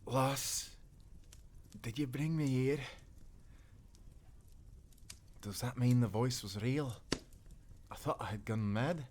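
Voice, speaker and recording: Wounded Scottish sailor, male actor: loyal, brave castaway, mid-pitched, soft and earnest, for a pirate adventure audio drama. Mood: tender, earnest. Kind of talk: cinematic pirate audio roleplay acting.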